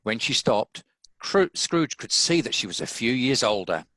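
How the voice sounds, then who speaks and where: A man's voice: medium pitch, very animated, normal speed, fairly bright tone, no echo. A man reading scripted story narration as the narrator of a table read.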